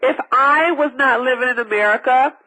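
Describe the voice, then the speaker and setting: A man's voice: very high voice, normal speed, bright, forceful tone, poor audio. A man hosting a call-in radio health advice show, talking in a rambling, emphatic way with lots of fillers and restarts. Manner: rambling, repetitive, with many fillers; emphatic and preachy. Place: broadcasting over a phone-based line.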